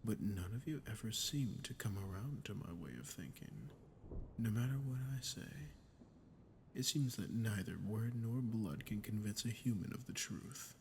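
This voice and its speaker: A man voicing a sadistic, dominant demon in an ASMR roleplay, speaking with deliberate, taunting condescension. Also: expressive. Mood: musing, condescending. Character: sadistic archdemon, smug, menacing, dominant toward a human. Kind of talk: ASMR roleplay audio acting. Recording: clear background noise with low rumble.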